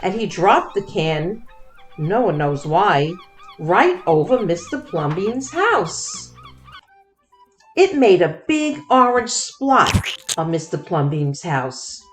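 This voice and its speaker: Slow, lively woman reading a storybook. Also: lowish voice, soft background music. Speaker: children's book read-aloud host. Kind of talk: expressive storybook narration for young children.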